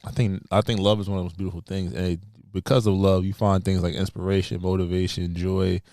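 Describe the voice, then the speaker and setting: A low-voiced man gives a steady, thoughtful answer on a podcast. His speech is relaxed and slangy. The sound is dry and close. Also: brisk, no echo. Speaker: podcast co-host. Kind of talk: casual podcast chat.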